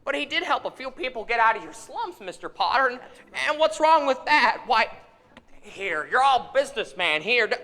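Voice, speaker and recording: A man's voice: very high-pitched, big pitch swings, normal speed, sharp tone, big-room sound, full character voice. A young actor in a live stage radio play, playing an earnest young man who stammers when he gets worked up. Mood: impassioned. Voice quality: frequent nervous stammers. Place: theater stage, long reverb. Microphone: vintage stand microphone on stage.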